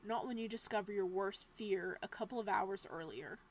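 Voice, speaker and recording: A woman's voice: mid-range voice, normal speed, a little hiss or hum. A woman reading a horror visual novel aloud, breaking off for playful, joking asides. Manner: playful asides between narration. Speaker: game streamer.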